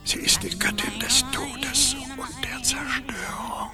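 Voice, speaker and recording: An actress playing a solemn, mysterious guide in a radio play, speaking in a portentous, breathy half-whisper. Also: female voice, on the high side, takes it slowly. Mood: solemn, ominous. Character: mysterious, solemn wise guide warning young heroes. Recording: music under the voice.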